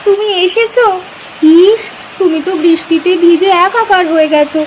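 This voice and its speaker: A woman acts a simple young village wife in a cartoon, very affectionate. Her high voice coos plaintively. A little rainy noise is audible behind her. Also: moderate pace, poor audio. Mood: tender. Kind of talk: cartoon character acting.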